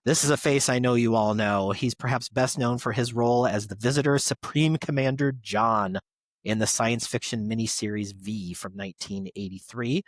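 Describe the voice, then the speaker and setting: A man's voice: mid-range voice, normal speed. A male podcast host with a mid-range voice reads semi-scripted tributes. He delivers them like an announcer, with an enthusiast's personal touch. The sound is dry, with no room echo. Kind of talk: reading birthday tributes to actors, semi-scripted podcast segment.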